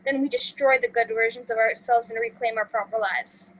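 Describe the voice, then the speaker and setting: A woman's voice: a little high, steady tone, a little fast, a little hiss or hum, lightly acted, low-quality recording. A woman reading the part of an earnest, odd young man turned would-be villain in an amateur sitcom table read. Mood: solemnly scheming.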